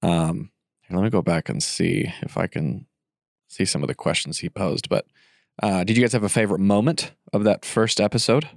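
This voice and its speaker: A man's voice: low voice, moderate pace, creaky, no room sound, clean studio sound. A congested man hosting a casual podcast, rambling with fillers and restarts. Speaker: podcast host.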